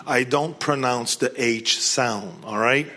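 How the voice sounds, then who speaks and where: A husky-voiced man with a Québécois French accent, his first language French, addresses a church gathering in English. He talks in a casual, self-deprecating way, jokes easily, and often stops and restarts his sentences. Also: mid-range voice, takes it slowly, pushed and bright. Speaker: guest speaker.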